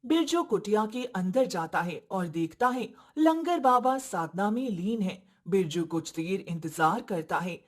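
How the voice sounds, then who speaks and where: A woman's voice: medium pitch, lively intonation, measured pace, few pauses. A woman narrating a scripted story.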